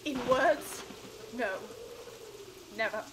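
A woman's voice: sits high, very slow, background noise. A teenage girl playing a sorrowful, resolute young Victorian woman in a radio drama, speaking earnestly and formally. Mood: sorrowful, firm.

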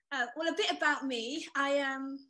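A woman's voice: high-pitched, measured pace. Chatty English woman on a video call. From England. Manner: lively, laughing, chatty. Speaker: guest author. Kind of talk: casual video call chat. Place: home room.

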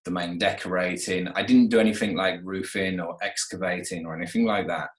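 A man in his thirties with a lowish voice chats over a video call from an echoey room at home. He rambles easily, full of fillers and restarts, as he tells his own story. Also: fairly even intonation, a little fast. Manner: chatty, with many fillers and restarts. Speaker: renovation company owner, guest. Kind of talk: casual interview.

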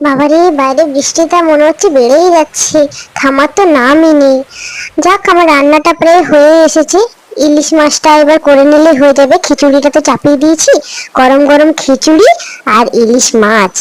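A woman's voice: sits high, fairly even intonation, a little fast, no echo, natural voice, rough recording. A woman playing a caring village housewife and mother in a children's cartoon, talking in a homely, chatty way. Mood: contented. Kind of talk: Bengali children's cartoon story dialogue.

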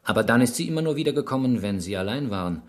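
An actor playing a shrewd, insistent police inspector who probes and presses a suspect in a radio crime drama. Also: male voice, mid-range voice, lively intonation, racing, close to an everyday voice. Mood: insinuating. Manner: probing, pointed, pressing questions.

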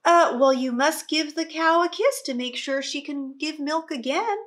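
Woman playing an exasperated high-voiced mother. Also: moderate pace, fairly bright tone. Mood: insistent. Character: exasperated, insistent farm mother of many children.

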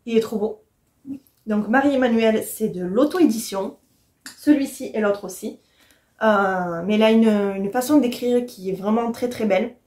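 A woman's voice: on the high side, moderate pace, mellow. A woman, chatting casually and enthusiastically to the camera as a book vlogger. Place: small furnished room at home, indoors.

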